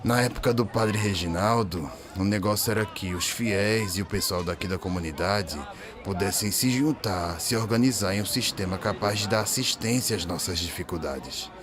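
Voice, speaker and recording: A man's voice: low voice, normal speed, a crowd in the background, natural voice. A man with a Brazilian accent playing a plain-spoken hillside resident who reminisces colloquially in a radio drama. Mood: earnest recollection. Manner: colloquial, recollecting.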